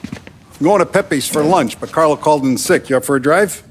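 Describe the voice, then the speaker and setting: A man playing an aging, fatherly yet authoritative mafia don in a cinematic crime video game, speaking in a measured, commanding way. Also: a little high, a little hiss or hum, close to an everyday voice. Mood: relaxed, friendly.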